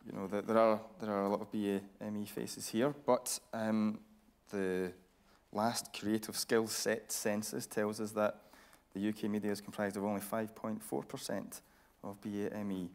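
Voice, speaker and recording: A man with a fairly low voice and a strong creak in it. He works as an interviewer on a theatre stage, laying out context and asking questions in a measured, journalistic way. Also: little pitch movement. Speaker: interviewer, presenter, reporter. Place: radio theatre stage with an audience.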